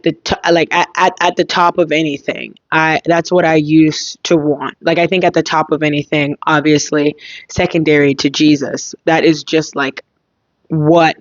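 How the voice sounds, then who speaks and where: A young woman hosting a casual solo podcast, thinking aloud in a reflective way, her voice slightly hoarse. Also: deep voice, dry recording, dull audio. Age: young adult. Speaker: podcast host.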